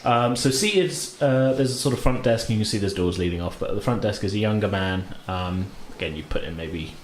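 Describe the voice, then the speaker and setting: A man improvising narration as a tabletop game master in an informal, halting way. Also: fairly low pitch. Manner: halting, informal, hedging descriptions. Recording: roomy, light background noise.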